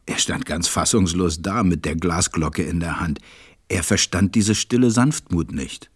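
A man playing a gentle, reflective storyteller, narrating a storybook radio play in a measured way. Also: low voice, husky.

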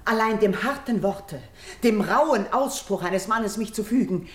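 A woman's voice: mid-range voice, lively intonation, unhurried, natural voice. An actress playing a proud, principled priestess of royal birth, solemnly declaiming verse in a classical radio drama, with echo around her. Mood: firm defiance. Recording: roomy, echoing studio sound.

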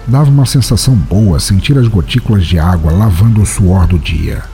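A man playing a melancholy, solitary writer who walks at night, narrating in a measured, introspective way in a literary audio drama. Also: low voice, warm tone, faint music behind. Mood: brooding, introspective.

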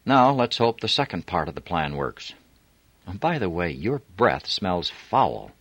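A man reading a novel aloud, voicing a quick-witted woman who talks gently and coolly while playing a part. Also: sits low, very animated, breathy edge, dry recording, pitched above the performer's own voice, full character voice. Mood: wry. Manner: gentle, soothing. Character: woman posing as sister, sly and calm.

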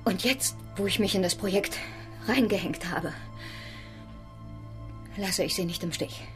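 An actress playing a proud, determined sailor in a radio drama, speaking earnestly with deliberate pauses. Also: female voice, mid-range voice, natural voice. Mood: resolute, grave. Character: determined, proud woman sailor, recovering from injury. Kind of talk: German radio drama acting. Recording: studio radio drama with music underneath. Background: music.

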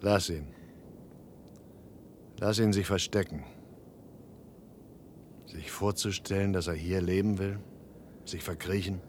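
An actor playing a sober, challenging man in a German radio play, speaking in a measured, serious, probing way. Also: sits low, steady tone, a little mellow, slight background noise. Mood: resigned, bitter.

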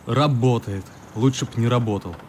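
A man's voice: medium pitch, normal speed, natural voice. A man playing a wry, grumbling, easygoing working man in a radio play. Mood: sulky, resigned. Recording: light steady background noise.

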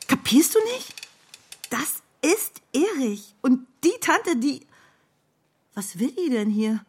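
An actress in a radio play voicing an agitated, frightened wife, bewildered and defensive, with a breathy, fretful delivery. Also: female voice, sits high, big pitch swings, slow pace, a little mellow, slight background noise, exaggerated voice. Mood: agitated, indignant. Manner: fretful, repetitive, stammering. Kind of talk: radio drama acting.

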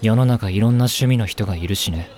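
An actor playing an aloof, sarcastic teenage schoolboy in an anime audio drama, deadpan and snide. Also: male voice, lowish voice, fairly quick, lightly acted. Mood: dry, sarcastic.

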